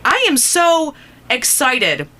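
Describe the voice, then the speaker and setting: A woman's voice: high-pitched, sing-song, takes it slowly, pushed and bright. A woman hosting a radio interview, talking with gushing fan enthusiasm. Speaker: radio host.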